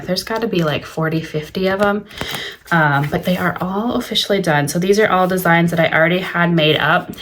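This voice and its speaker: A woman's voice: mid-range voice, slight background noise. A young woman with a slightly stuffy nose, chatting casually about her small craft business in a home studio vlog. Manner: chatty, friendly, lots of "so" and "like". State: a little stuffy from allergies. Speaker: small business owner vlogger.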